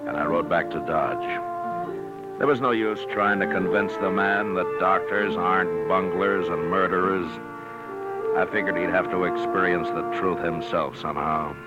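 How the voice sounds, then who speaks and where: Man playing a very deep-voiced Old West marshal. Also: steady tone, close to an everyday voice. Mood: grave, reflective. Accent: American. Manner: measured, laconic, dry. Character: calm, steady Old West marshal with dry humor. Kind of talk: 1950s radio western acting and narration. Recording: dull vintage radio recording, music behind.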